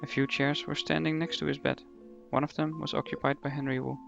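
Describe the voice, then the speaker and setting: A man's voice: fairly high pitch, level tone, fairly quick, creaky. A man narrating a radio play in a scripted storytelling style, with dull, lo-fi sound. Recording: dull lo-fi sound under loud music.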